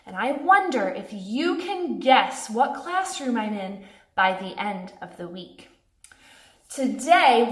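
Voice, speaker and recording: A woman's voice: a little high, a bit bright. A woman doing a read-aloud for young children, speaking in simple phrases aimed straight at little listeners. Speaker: church pastor reading a children's Bible story aloud. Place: indoors in a classroom. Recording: echoey classroom.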